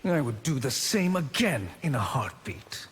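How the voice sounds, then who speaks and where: An actor playing a smug, unrepentant, power-hungry sorcerer villain in a video game, taunting and sardonic. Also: male voice, mid-range voice, sing-song, a little slow, long reverb tail, very noisy. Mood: smug, defiant.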